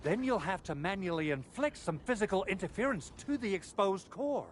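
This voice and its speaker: An actor playing an eager, earnest male engineer in a video game, explaining things in an animated way, with music behind him. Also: high voice, normal speed, slight background noise. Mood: instructive, earnest.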